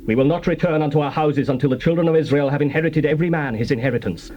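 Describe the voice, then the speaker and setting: Male actor doing determined bible tribesman, fast formal delivery, bit of old cassette noise. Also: on the high side, a little mellow, highs cut off, natural voice. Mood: resolute. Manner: formal, declamatory. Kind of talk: dramatized King James Bible reading. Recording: noisy old cassette tape.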